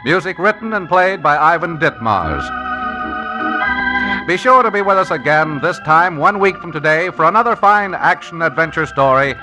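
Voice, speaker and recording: A man's voice: fairly high pitch, lively intonation, normal speed, rough recording. A male announcer giving the closing credits of a 1950s radio show in a formal, polished delivery. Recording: old radio broadcast over organ music.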